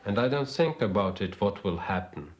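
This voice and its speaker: A man giving a documentary interview, speaking haltingly and searching for words in a second language. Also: fairly low pitch, animated, lo-fi sound, crowd noise. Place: home in Estonia. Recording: noisy old documentary film sound.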